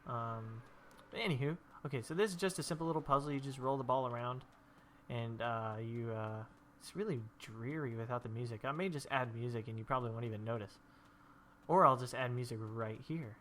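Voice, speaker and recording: A young man with a congested, stuffy voice narrates his gameplay in a loose, rambling way. His pitch is average for a man, and his talk drifts easily into personal stories. A faint hiss of background noise sits under the recording. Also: a little fast, fairly bright tone. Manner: rambling, chatty storytelling while playing. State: stuffy from allergies. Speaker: gameplay video host. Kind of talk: casual gameplay commentary.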